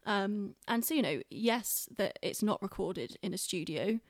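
A woman's voice: mid-range voice, lively intonation, a little slow, no room sound, clean studio sound. A woman talking casually and earnestly on a podcast, explaining things in an explanatory way.

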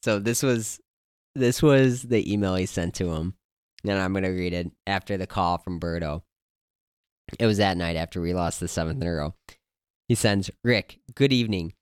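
A man's voice: deep voice, normal speed, clear, resonant tone, no echo, studio quality. An American man co-hosting a sports podcast, chatting casually in a blunt, slangy, exasperated fan's way. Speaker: podcast co-host. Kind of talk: casual sports fan chat.

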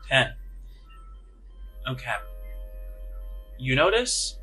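A man's voice: medium pitch, very animated, very slow, sharp tone, husky, soft background music. A man working as game master, improvising conversational, descriptive narration for a tabletop roleplaying game.

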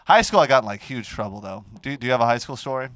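A man, co-hosting a casual comedy podcast and telling stories in an animated way, close to a microphone. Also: medium pitch, no room sound. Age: 20s. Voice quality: nasal. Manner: animated storytelling, quick to laugh. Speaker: podcast co-host.

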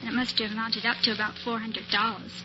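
A woman's voice: on the high side, level tone, quick pace, dull audio, music in the background. An American woman being interviewed as a quiz show contestant, giggly and a little hesitant, on an old-time radio recording. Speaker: contestant, telephone operator.

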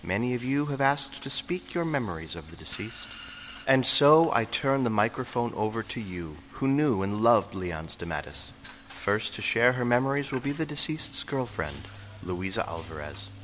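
A man playing a solemn, courteous memorial officiant in an audio drama, giving a formal, measured public address. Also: mid-range voice, big pitch swings, a bit bright, slight background noise. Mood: solemn, welcoming.

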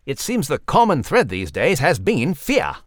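A genteel little scholar of a mouse, acted out by a man, with very animated, swooping intonation. He talks thoughtfully and enthusiastically in old-fashioned, erudite phrases, like a storybook character holding a devotional chat. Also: fairly high pitch, unhurried, exaggerated voice. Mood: musing, animated. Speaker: co-host in character. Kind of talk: conversational devotional discussion in character.

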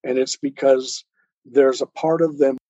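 Male interview guest, mellow and slightly husky, earnest and measured explainer, dry but dull recording. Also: mid-range voice, level tone, no room sound. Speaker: interview guest, author and speaker. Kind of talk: earnest explanatory interview talk on self-help and faith.

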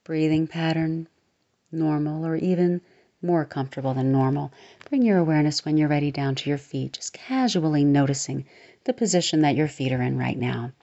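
A woman guiding a relaxation meditation, speaking slowly and soothingly and sometimes sinking into a soft near-whisper. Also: sits low. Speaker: meditation guide. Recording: slightly dull lo-fi recording.

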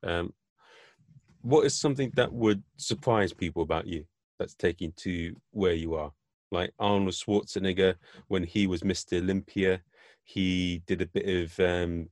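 A man's voice: sits low, a little mellow, no room sound, clean studio sound. A man hosting a podcast interview, asking a long, winding question. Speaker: podcast host.

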